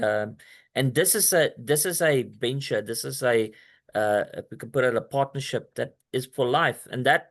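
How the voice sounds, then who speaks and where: Male host on a video call, casual and rambling, many restarts. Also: medium pitch. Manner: rambling, restarts often, talks straight to the listener. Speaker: company presenter hosting a video call.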